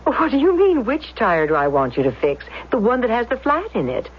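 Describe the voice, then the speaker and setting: An actress playing a flustered, indignant housewife in a 1970s radio drama, stammering and exclaiming. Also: female voice, a little high, very animated, breathy edge. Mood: flustered disbelief. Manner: slow, stammering, swooping exclamations. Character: flustered, indignant housewife, a fire chief's wife. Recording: muffled, narrow vintage radio sound.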